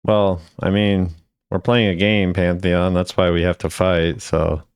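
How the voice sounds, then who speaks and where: A man's voice: sits low, flat delivery, measured pace, smooth, dry recording. A man hosting a gaming video, giving casual commentary with informal, musing reactions.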